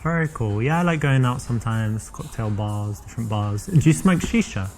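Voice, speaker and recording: Actor as self-assured British male dating coach for a video game, mid-pitched, conversational and instructive. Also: animated, moderate pace, a little mellow, close to an everyday voice. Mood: casual, flirting. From London, England. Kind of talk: conversational acting and instruction to viewer.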